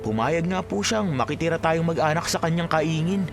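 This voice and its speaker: Gentle, dutiful young Filipino played by an actor. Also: mid-range voice, normal speed, vocal fry, over music, natural voice. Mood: concerned, puzzled. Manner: polite, consoling. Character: dutiful gentle grown child of poor farmer, puzzled. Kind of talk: Filipino radio drama acting.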